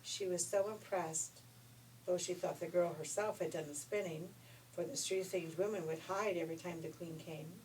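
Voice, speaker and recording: A woman reading a children's story in an expressive, folksy way. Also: lowish voice, normal speed. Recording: noisy, distant room recording.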